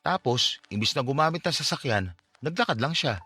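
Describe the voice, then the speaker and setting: A hot-headed but hurt young man in a radio drama. His voice is bright and swings widely in pitch as he defends himself, with music underneath. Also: expressive, brisk, husky. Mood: confiding. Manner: emotional, defensive. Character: hot-headed, wounded young man, touchy yet apologetic.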